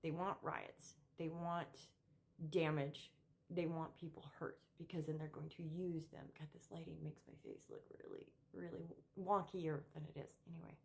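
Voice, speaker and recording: A middle-aged woman with a low voice speaks off the cuff to her viewers. She is opinionated and emphatic, and her delivery halts and repeats words as she builds each point. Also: big pitch swings, moderate pace, vocal fry, darkish tone. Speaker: political commentary vlogger. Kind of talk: unscripted opinion monologue to camera.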